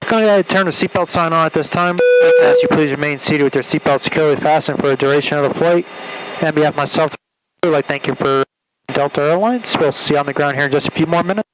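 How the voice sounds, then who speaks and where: A man, an airline pilot, making a routine, halting cabin announcement with frequent uhs over the aircraft PA. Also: on the high side, poor audio. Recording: aircraft PA with faint noise.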